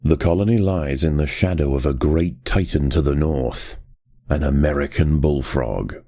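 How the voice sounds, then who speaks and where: An actor playing a measured, authoritative nature documentary narrator for a video game. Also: male voice, rumbling low, warm tone, no room sound, clean studio sound. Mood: measured gravity.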